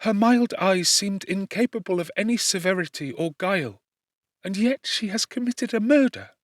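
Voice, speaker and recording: A tender, loyal young gentlewoman in a classic audiobook , acted out by a man. The voice sits very high, fervent and distressed, imploring with great earnestness. The recording is very dry. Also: animated, normal speed, dry recording. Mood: heartbroken. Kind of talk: dramatic audiobook character reading.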